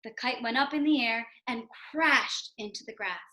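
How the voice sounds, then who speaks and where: A woman's voice: fairly high pitch, normal speed, bright, forceful tone, reverberant. A woman teaching young children in a read-aloud lesson, instructional, repeating key points and checking understanding. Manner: instructional, repetitive emphasis, checks with "right?" and "okay?". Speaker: elementary school teacher.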